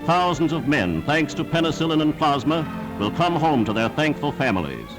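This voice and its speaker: A man's voice: fairly high pitch, sing-song, moderate pace. A man narrating a 1940s wartime newsreel in a formal, declamatory scripted style. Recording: 1940s newsreel soundtrack with music.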